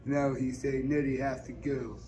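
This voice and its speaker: A man's voice: medium pitch, level tone, moderate pace, music in the background. A man reading a novel aloud, delivering first-person narration written in Southern dialect. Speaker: book reader. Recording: noisy public store ambience.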